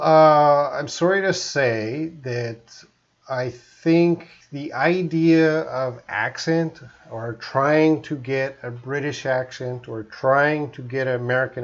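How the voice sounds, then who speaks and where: A man in his forties from Canada, with a faint Canadian accent, teaches English on a live stream. He talks in a chatty, easygoing way at a middle pitch and reads out his viewers' comments. The audio sounds slightly dull. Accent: mild Canadian.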